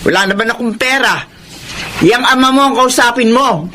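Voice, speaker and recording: A woman playing a nagging, blaming middle-aged gambler mother in a radio drama, with a sharp Filipino-accented voice. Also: fairly high pitch, normal speed. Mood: nagging, dismissive. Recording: faint background noise.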